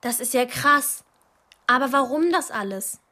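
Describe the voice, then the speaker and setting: An actress playing a chatty, excitable young girl in a radio play. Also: sits high, measured pace, heavily acted. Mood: astonished.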